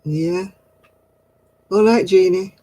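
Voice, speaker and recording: A man's voice: high voice, takes it slowly, mellow, dry recording. A middle-aged man, hosting a casual craft livestream and chatting with viewers in a giggly, rambling way. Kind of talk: casual chat answering viewer comments.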